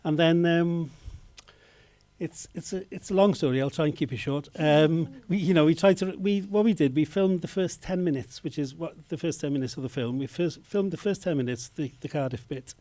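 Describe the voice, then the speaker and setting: A filmmaker speaks at a festival Q&A in a fairly high but mellow voice. He stops often, restarts his sentences and searches for words. The sound is close and dry. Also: moderate pace, no room sound. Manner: hesitant, many fillers and restarts. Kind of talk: festival Q&A answers. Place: cinema Q&A stage.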